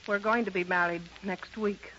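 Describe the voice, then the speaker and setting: A woman's voice: mid-range voice, fairly even intonation, normal speed, sharp tone, lightly acted. An actress playing an earnest, resolute young showgirl of the 1890s in 1930s radio drama style. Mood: earnest, firm. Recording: dull, hissing 1930s radio recording.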